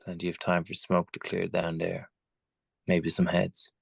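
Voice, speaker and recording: Male actor as laid-back space commander, low creaky voice, flat delivery, table read. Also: unhurried, exaggerated voice. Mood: measured, dry. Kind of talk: live screenplay table read acting.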